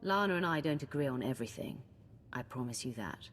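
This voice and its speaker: An actor playing a calm, reserved warrior leading a coalition. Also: male voice, medium pitch, fairly quick, faint music behind. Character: steady male warrior leader of a coalition.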